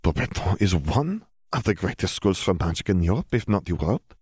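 A man's voice: low-pitched, very animated, normal speed, a little mellow, husky, dry recording, clean studio sound, heavily acted. A man voicing a proud, insistent witch official, a formal and emphatic woman, in a story reading. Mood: proud, persuasive.

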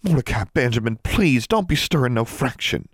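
Man voicing a pleading high-pitched country girl. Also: animated, moderate pace, husky, no room sound, clean studio sound. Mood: distraught, pleading. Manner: distraught, pleading, rustic dialect. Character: anxious, earnest backwoods young twin girl.

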